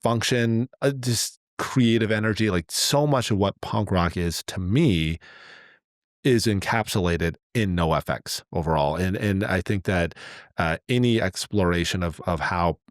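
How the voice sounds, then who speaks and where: A man's voice: low voice, measured pace, dry recording, clean studio sound. A middle-aged man co-hosting a podcast, talking casually in a rambling, enthusiastic way as he works out his thoughts. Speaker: podcast co-host. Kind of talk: casual conversational podcast talk.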